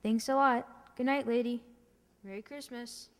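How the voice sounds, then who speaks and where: A woman's voice: on the high side, fairly even intonation, moderate pace, natural voice. A woman playing a polite, shrewd young boy peddler in an old-time radio comedy. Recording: echoey hall, long reverb.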